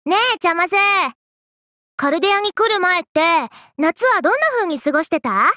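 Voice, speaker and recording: A woman's voice: very high-pitched, animated, moderate pace, bright, forceful tone, no echo, studio quality, exaggerated voice. A woman voicing a brash, playful, hyper young woman from an anime game, with cocky, slangy, teasing delivery. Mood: wistful. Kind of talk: Japanese anime game character voice lines.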